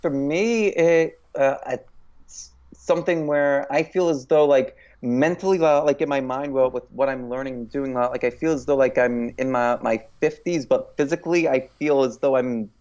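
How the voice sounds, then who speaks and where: A man in his late thirties, a guest in an interview, talking in a reflective, halting way with many pauses. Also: mid-range voice, a little mellow, highs cut off. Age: 30s. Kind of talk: casual interview chat.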